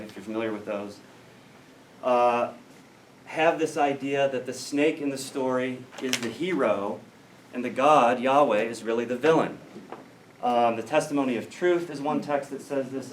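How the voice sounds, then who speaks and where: American man lecturing in an echoey room. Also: mid-range voice. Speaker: academic lecturer in biblical studies. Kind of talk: scholarly lecture. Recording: roomy, distant mic with faint noise.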